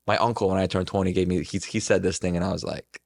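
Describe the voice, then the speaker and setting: A man's voice: deep voice, fairly even intonation, fast, gravelly. A man in his twenties talking casually and reflectively as a podcast guest, earnest and rambling, with plenty of filler words. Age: 20s.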